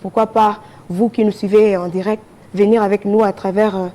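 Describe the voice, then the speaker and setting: A Congolese woman speaks as a guest in a TV studio. Her voice is dark and relaxed at a medium pitch. She makes an earnest appeal, restating her phrases as she goes. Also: moderate pace. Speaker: charity association representative, TV guest.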